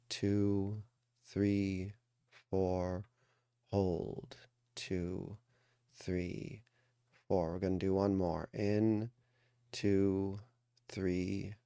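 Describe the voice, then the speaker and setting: Slow, low-voiced man guiding a meditation. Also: vocal fry, smooth, no room sound, studio quality. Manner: calm, soothing, deliberate with pauses. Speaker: meditation coach. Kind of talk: guided meditation.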